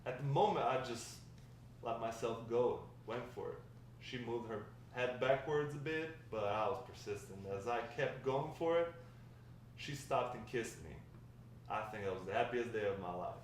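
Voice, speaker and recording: A man's voice: mid-range voice, moderate pace, natural voice. Echoey, distant man reading as a reminiscing grandfather. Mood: fond, nostalgic. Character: grandfather fondly recounting his wild, lovestruck youth. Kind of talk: table read of a dramatic script. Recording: echoey room, distant mic, faint noise.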